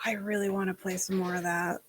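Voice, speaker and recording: A woman talking as a guest in a casual stream chat, frank and animated, with casual swearing. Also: medium pitch, a little fast, dry recording.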